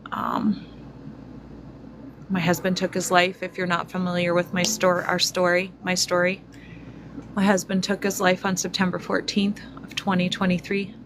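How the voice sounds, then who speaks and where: A woman in her forties speaking slowly and reflectively to her viewers, pausing often with fillers. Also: lowish voice, completely flat delivery, background noise. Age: 40s. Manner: slow, reflective, many fillers. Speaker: spiritual vlogger. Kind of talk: personal testimony to viewers.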